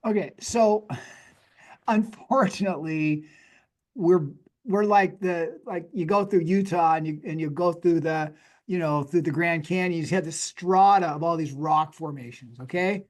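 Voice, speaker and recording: High-pitched, slightly raspy man explaining. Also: unhurried. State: voice worn from days of talking. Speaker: guest expert, dentist and inventor. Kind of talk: conversational technical explanation.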